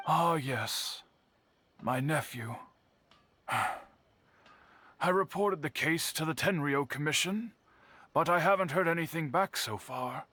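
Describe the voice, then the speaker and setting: An actor playing a worried middle-aged uncle who speaks formally and with measured care, in a game. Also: male voice, a little high, animated, bright, forceful tone, no echo, heavily acted. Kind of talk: game voice acting. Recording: game audio with faint music.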